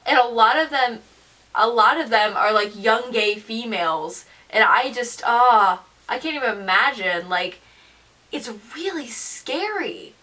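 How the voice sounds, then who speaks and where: A teenage young woman vlogging in a casual, slangy storytelling style. Her voice is high and bright, and she delivers things with animated emphasis and mimicked asides. She sounds a little way off from the microphone. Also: unhurried, recorded from a distance. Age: teens, seventeen.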